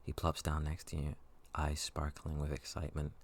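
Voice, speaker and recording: A man softly narrating an intimate story as an ASMR narrator. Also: rumbling low, completely flat delivery, moderate pace.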